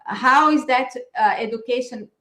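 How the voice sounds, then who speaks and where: Middle-aged woman host, higher voice, halting questions, roomy webcam sound. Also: sharp tone. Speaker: interviewer. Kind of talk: video call interview. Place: room at home. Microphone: video call webcam. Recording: echoey room.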